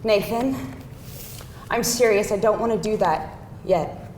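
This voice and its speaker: A woman's voice: a little slow, darkish tone, lightly acted. A woman playing an earnest, exasperated girlfriend of about thirty at a live screenplay table read, speaking firmly and expressively. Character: earnest, exasperated girlfriend around thirty, wanting real commitment. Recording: roomy live hall, faint rumbling noise.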